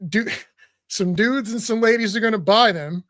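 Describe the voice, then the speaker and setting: A man's voice: high voice, normal speed, a bit bright, no echo, studio quality. A man co-hosting a casual political talk show, talking bluntly and animatedly and laughing between points. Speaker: co-host.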